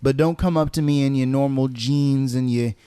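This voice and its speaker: A man's voice: mid-range voice, fairly even intonation, normal speed, warm tone, clear, resonant tone, dry recording. A man co-hosting a podcast, telling stories casually and often repeating words as he goes. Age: adult. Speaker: podcast co-host. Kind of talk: casual conversational storytelling.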